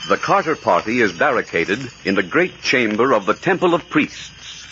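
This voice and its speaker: A man's voice: medium pitch, very animated, normal speed, pushed and bright. A man playing the announcer of a 1940s radio serial, narrating in a suspenseful, theatrical way. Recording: dull, noisy vintage radio recording.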